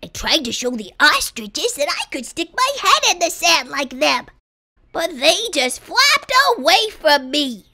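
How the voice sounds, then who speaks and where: A woman voicing a lonely young giraffe longing for friends in an earnest, childlike, expressive storybook style. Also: sits high, unhurried, pitched above the performer's own voice, exaggerated voice. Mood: plaintive. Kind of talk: children's storybook character reading.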